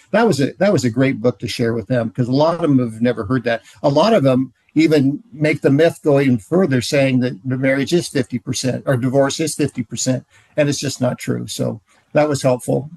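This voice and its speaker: A man giving an informal presentation as a ministry presenter, halting and often repeating his phrases. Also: mid-range voice, gravelly, a little mellow, dry recording, poor audio.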